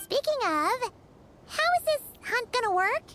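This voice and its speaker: A woman voicing a tiny, chatty female fairy companion in a very high, squeaky, chirpy voice. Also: very animated, unhurried, loud music behind the voice, heavily acted. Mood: eager. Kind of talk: game character dialogue.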